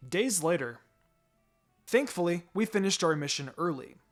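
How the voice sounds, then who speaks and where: A man reading a scripted story aloud in an expressive, dramatic narrating style. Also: sits high, normal speed. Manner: expressive, voices the dialogue. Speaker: fan fiction reader. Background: background music.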